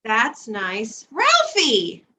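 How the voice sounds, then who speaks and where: A woman plays a practical, fretting middle-aged mother in a staged comedy reading. Her voice is high and swoops around, with a slow, protective delivery. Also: huge pitch swings, pushed and bright, exaggerated voice. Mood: distracted, then calling out. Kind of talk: readers theatre comedy reading.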